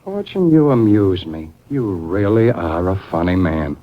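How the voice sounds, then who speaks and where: Menacing gangster boss, mocking, very animated pitch, old radio drama, muffled vintage recording. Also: male voice, slow, heavily acted. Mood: mocking amusement. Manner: menacing, sarcastic. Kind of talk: 1950s radio crime drama acting.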